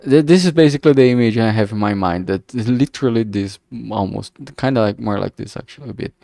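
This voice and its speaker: A man's voice: lowish voice, fast, creaky, dark tone, no room sound, clean studio sound. A man from Brazil with a thick Brazilian Portuguese accent, rambling hesitantly with many fillers as he thinks aloud on a stream. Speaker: game developer streamer.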